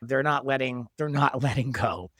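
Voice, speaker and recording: A man's voice: mid-range voice, moderate pace, fairly bright tone, no room sound, clean studio sound. A man talking casually as a show creator being interviewed about a production. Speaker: show creator and podcast guest.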